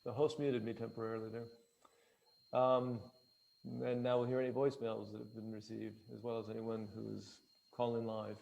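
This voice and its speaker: A man moderating a formal online public meeting as its chair, speaking in a hesitant, halting way. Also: fairly low pitch, level tone, creaky, darkish tone, muffled recording.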